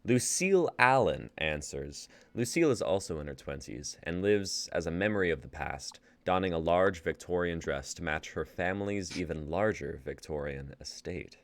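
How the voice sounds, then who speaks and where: A man's voice: low voice, lively intonation, moderate pace, few pauses, no echo. A young man in his twenties hosting a live script reading, laughing easily and reading the stage directions. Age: 20s. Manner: laid-back, laughing, uses y'all. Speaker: host and playwright.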